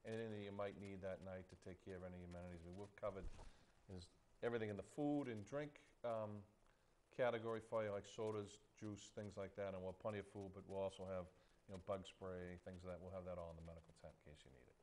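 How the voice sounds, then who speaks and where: Fast-talking middle-aged man, low voice. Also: fairly even intonation, darkish tone, a little hiss or hum. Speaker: event organizer. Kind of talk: local cable talk show chat. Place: studio set against an outdoor backdrop. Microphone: lapel mic.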